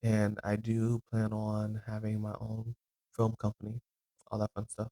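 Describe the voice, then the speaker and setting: Man in his twenties, low-pitched, casual rambling podcast host. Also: monotone, measured pace, mellow, no room sound. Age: 20s. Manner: rambling, loose, with many ums and self-corrections.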